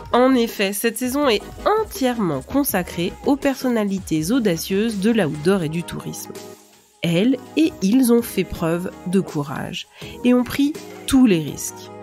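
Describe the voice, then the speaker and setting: Measured, polished podcast hostess. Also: female voice, mid-range voice, a little mellow. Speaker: podcast host. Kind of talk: scripted podcast intro and interview questions.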